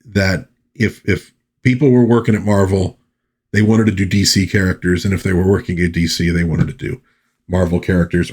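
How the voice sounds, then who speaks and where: A man hosting a casual review, talking in a halting, musing way with pauses and repeated phrases. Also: low voice, slightly rough. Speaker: host of a comic book review series.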